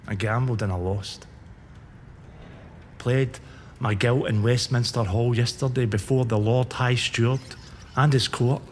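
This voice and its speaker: A man's voice: mid-range voice, darkish tone, close to an everyday voice. A man playing a remorseful, middle-aged earl, earnest and formal as he pleads for his life. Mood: troubled. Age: 40s. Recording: long hall reverb, faint background hiss.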